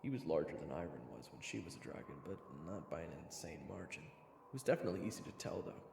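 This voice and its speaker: A man's voice: low-pitched, lively intonation, fast, gravelly, a little mellow, slight background noise. A man playing a brash, sarcastic human hero who narrates his fantasy adventure in an informal, wry way. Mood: indignant, scornful. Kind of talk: first-person story narration. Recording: echoey reverberant sound, music behind.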